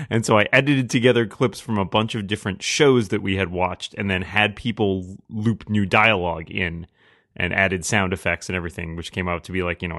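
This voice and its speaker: A man speaking casually as a podcast panelist, with a wry and halting way of talking. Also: sits low, a bit bright, no room sound, clean studio sound. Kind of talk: casual group discussion.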